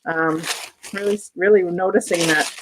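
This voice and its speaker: A woman's voice: on the low side, steady tone, normal speed, slight background noise, poor audio. A woman with a Canadian accent, chatting casually to her viewers while unboxing, rambling and correcting herself as she goes. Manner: chatty, rambling, self-interrupting with fillers. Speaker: vlogger unboxing auction finds. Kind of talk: casual chat to camera. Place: sunny room indoors.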